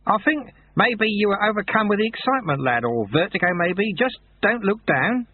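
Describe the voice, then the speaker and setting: A man voicing a calm, kindly old sweeper monk who reassures gently in folksy phrases. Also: high-pitched, moderate pace, pitched above the performer's own voice. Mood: reassuring. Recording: tinny phone-like sound.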